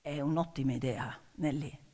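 A woman's voice: low voice, normal speed, mellow, breathy edge, pitched below the performer's own voice. A woman playing a smug, condescending male newspaper boss who speaks in a mocking, patronising way in a stage story. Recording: reverberant stage hall with music.

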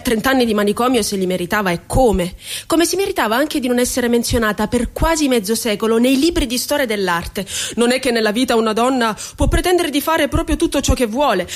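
An actress playing a wounded, ironic woman poet remembering the asylum, in a deliberate theatrical radio monologue full of drawn-out words. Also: fairly high pitch, natural voice. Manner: deliberate, poetic delivery with drawn-out words and rhetorical questions.

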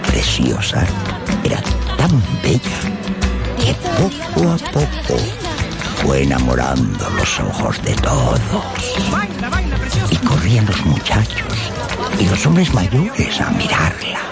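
A man's voice: low-pitched, wildly swooping pitch, slow pace, gravelly, long pauses. A man narrating a radio novel in a theatrical, literary storytelling style. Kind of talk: dramatic scripted literary narration. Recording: music under the voice. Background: loud dramatic background music.

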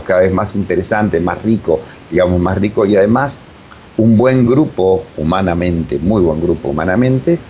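An older man with an Argentine Spanish accent answers interview questions conversationally, in a halting, digressive way, often repeating himself. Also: warm tone. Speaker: interviewed theater director and voice teacher. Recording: dull sound with faint rumbling noise.

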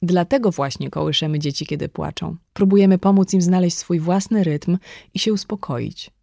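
A woman's voice: on the low side, moderate pace, gravelly, dark tone, no echo, studio quality. A woman reading a conversational non-fiction audiobook in a scripted narration style. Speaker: audiobook reader.

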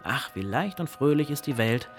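A man plays a disillusioned searcher in a radio play. His medium-pitched voice is bitter and brooding, phrased in short rhetorical bursts. Faint music lies underneath. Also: expressive, moderate pace, lightly acted. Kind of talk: German radio play acting.

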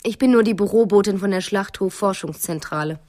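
An actress playing a restless, quick-witted wife who bluffs her way along, cool and sardonic, in a radio play. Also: mid-range voice, a little mellow, lightly acted. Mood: cool bluffing. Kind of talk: 1990s German radio play acting.

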